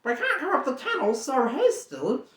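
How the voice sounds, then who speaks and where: A reader voicing a wretched, greedy cave creature who hisses and whispers, jumping from shrill squeals to low mutters. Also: wildly swooping pitch, normal speed, a bit bright, exaggerated voice. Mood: sly scheming. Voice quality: hissing, whispery, lisping plurals. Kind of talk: audiobook character voice. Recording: roomy echo.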